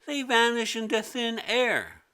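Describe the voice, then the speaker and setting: Young schoolgirl for a storybook, played by a man: high voice, innocent, puzzled, protesting. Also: sing-song, measured pace, bright, forceful tone, smooth, exaggerated voice. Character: young schoolgirl Mimi, innocent, scatterbrained, matter-of-fact. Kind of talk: children's storybook character voice.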